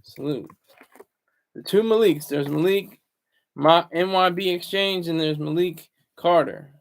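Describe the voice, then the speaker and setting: Gravelly man talking low on a livestream. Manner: deliberately low, hushed delivery; halting, repeats words. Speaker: livestream host. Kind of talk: casual livestream commentary. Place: home, room indoors.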